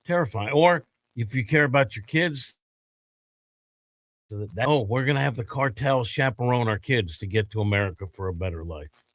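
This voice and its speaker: A man co-hosting a sports talk radio show in casual banter, making dry, measured remarks. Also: mid-range voice, expressive, fairly bright tone, no room sound.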